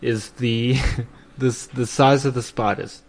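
A man's voice: medium pitch, fairly even intonation, a little slow. A man with a South African accent chatting casually as a talk show co-host, often searching for his words. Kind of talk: casual chat.

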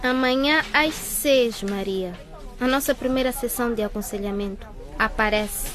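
A woman's voice: a little high, normal speed, a crowd in the background, natural voice. A woman playing a resentful teenage schoolgirl in a radio drama, curt and accusing. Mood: curt, cold.

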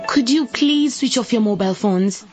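An actress playing a busy, easily shaken female election candidate in a radio drama, polite but brisk and expressive. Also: on the high side, over loud music, lightly acted. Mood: businesslike, flustered.

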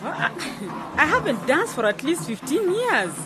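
Lively, teasing village woman. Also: high voice, unhurried, over music, low-quality recording. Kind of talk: radio drama acting.

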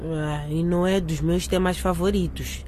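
A woman's voice: lowish voice, steady tone, normal speed, a little mellow, slight background noise, lightly acted. A woman playing a frank, slightly defensive teenage schoolgirl in a radio drama. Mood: matter-of-fact.

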